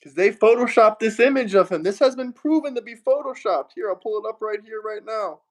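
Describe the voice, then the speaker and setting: A man's voice: high voice, a little fast. A man hosting a livestream, arguing heatedly and mockingly with a caller and asking viewers for super chats. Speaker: livestream host. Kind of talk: heated political livestream debate.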